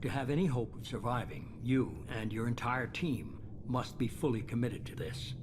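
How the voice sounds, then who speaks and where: A man playing a composed, calculating mastermind with a measured, authoritative manner in a video game drama. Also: mid-range voice, animated, background noise, natural voice. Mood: grave, commanding. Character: calculating, composed male mastermind of shadowy organization.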